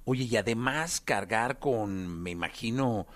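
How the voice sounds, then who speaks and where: A man's voice: on the low side, unhurried, a bit bright. A man hosting a casual interview in an affirming, encouraging, conversational way. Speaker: interview host.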